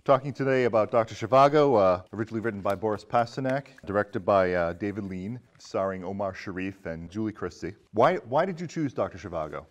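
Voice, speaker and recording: A man's voice: fairly low pitch, lively intonation, brisk, hardly any pauses, dull audio. A man hosting a film talk show in a casual, conversational style. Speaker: film podcast host. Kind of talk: casual chat.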